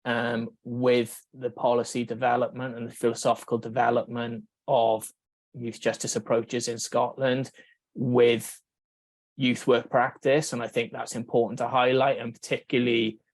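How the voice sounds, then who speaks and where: A Welsh man, a researcher, giving a conference talk in a measured way, thinking aloud with fillers and restarts. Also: mid-range voice, flat delivery, no echo. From Wales. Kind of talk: conference presentation.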